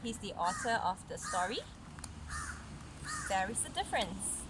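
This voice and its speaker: A woman reading a picture book aloud to a young child, with gentle, encouraging, chatty asides to explain the pictures. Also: mid-range voice, animated, unhurried. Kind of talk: casual read-aloud storytelling with chatty asides. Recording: noisy recording with vehicle-like background hum.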